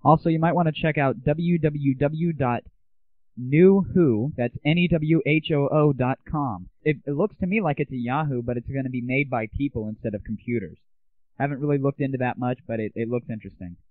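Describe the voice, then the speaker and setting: A man's voice: medium pitch, fairly quick, dry recording. Male host, brash and crude, casual monologue, dry, tinny lo-fi.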